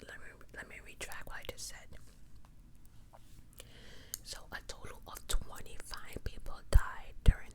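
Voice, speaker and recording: Whispering woman, casual ASMR storyteller. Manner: conversational, self-correcting, opinionated asides. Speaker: ASMR video host.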